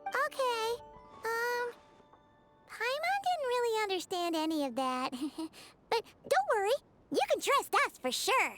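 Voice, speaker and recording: A tiny excitable game companion; squeaky, sparkling, lively. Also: female voice, slow pace, soft background music, full character voice. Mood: eager. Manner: bubbly, talks about herself in third person. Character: tiny floating fairy-like companion, chatty and excitable. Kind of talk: video game dialogue.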